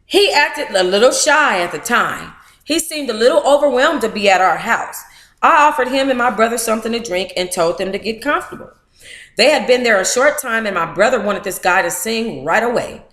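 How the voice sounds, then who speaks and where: Woman, American accent, informal book club read-aloud, playful candid asides, animated delivery, roomy echo. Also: fairly high pitch, a little fast. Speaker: book club host reading a memoir aloud.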